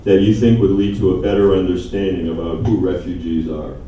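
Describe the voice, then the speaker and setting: Deep, dark-voiced man moderating. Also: flat delivery, slight background noise. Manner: measured, reads out questions. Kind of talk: moderating a panel discussion. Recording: echoey hall, distant mic, muffled.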